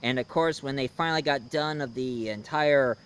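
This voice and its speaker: A man's voice: mid-range voice, moderate pace, a bit bright, smooth. A man giving a casual, rambling film review, speaking emphatically and opinionatedly straight to his viewers. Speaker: reviewer, host.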